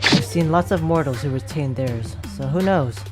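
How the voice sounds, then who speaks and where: Woman as a low-voiced cocky underworld judge. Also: normal speed, close to an everyday voice. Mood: amused, casual. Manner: solemn pronouncements switching to brash sarcasm. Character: cocky retired underworld judge, irreverent and commanding. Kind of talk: dramatic animated-story voice acting. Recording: music behind the voice.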